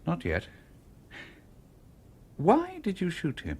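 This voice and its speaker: A man's voice: high voice, wildly swooping pitch, slow, a little mellow, slightly rough, heavily acted. An actor playing a cool, probing male stranger in a radio mystery, with an urbane, wry way of questioning. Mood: probing, unhurried. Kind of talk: radio mystery drama acting.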